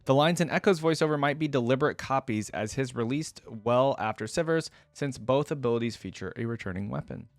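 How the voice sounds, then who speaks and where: A man's voice: mid-range voice, expressive, fairly quick, hardly any pauses, no room sound. Casual man, dry close sound. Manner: informal, rambling, conversational. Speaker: YouTube reaction host. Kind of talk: casual reaction commentary.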